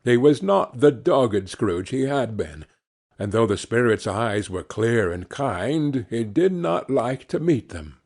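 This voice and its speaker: A man narrating a classic novel as an audiobook in a measured, expressive storytelling manner. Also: mid-range voice, husky. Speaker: audiobook reader.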